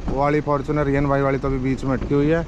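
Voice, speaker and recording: A man's voice: medium pitch, flat delivery, racing, lo-fi sound, background noise. A middle-aged man narrating a casual travel vlog to a handheld camera, chatty and joking, outdoors and in a car. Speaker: vlogger. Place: outdoors in scrubland and inside a car.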